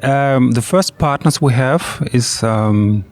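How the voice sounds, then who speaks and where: A man's voice: mid-range voice, slow pace. Hesitant German-accented man. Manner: hesitant, many ums and uhs, slightly imperfect English. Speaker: orchestra bassist, guest. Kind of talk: interview answers. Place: outdoor courtyard.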